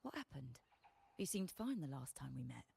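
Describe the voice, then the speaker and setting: A woman's voice: a bass voice, sing-song, moderate pace, gravelly, dark tone, breathy edge, dry recording, heavily acted. A woman voice actor playing a blunt, practical commoner trader in a video game, with a frank, sardonic, informal manner. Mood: wary, exasperated.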